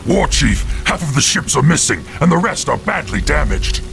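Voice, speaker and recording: A man playing a dutiful orc warrior in a video game, gravelly and deferential as he reports to his chief. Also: fairly high pitch, sing-song, moderate pace, over loud music, exaggerated voice. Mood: reporting. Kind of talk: video game cinematic acting.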